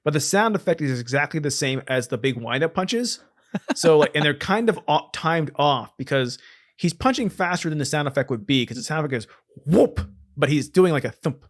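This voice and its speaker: A man's voice: on the high side, expressive, normal speed. A man co-hosting a podcast in casual, comedic film-review chat, explaining things with repetition and the odd swear word. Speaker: podcast co-host.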